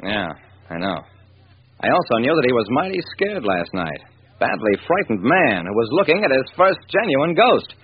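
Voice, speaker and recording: Vintage radio detective lead, played by a man: wry, stubborn, animated narration, thin noisy sound. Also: mid-range voice, moderate pace, lo-fi sound, lightly acted. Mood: pensive. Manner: wry, hard-boiled.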